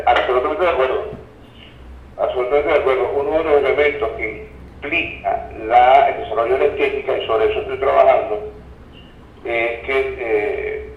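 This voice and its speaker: Distant, echoey Venezuelan man explaining haltingly. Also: mid-range voice. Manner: didactic, halting, checks listener follows. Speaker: interview guest, communication researcher. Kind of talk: conversational interview, analytical explanation. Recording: roomy, distant, dull sound, faint noise.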